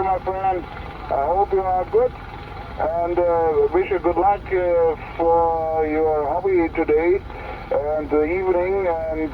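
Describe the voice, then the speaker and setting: Radio ham, friendly and halting, slightly high pitch, thin radio-transmission sound. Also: male voice, narrow, tinny audio, a little hiss or hum. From Germany. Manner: hesitant, friendly, many pauses. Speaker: CB radio operator. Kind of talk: radio contact exchange.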